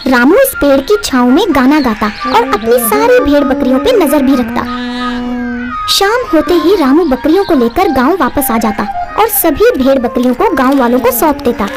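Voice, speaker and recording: High-pitched woman narrating children's stories. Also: lively intonation, moderate pace, over loud music. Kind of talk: scripted children's story narration.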